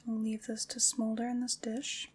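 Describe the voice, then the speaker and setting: A woman playing a wry, faintly condescending witch in an ASMR roleplay, whispering softly and musing as she goes. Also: on the high side, monotone. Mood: musing, gentle. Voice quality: soft, breathy whispering. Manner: unhurried, musing, dryly teasing.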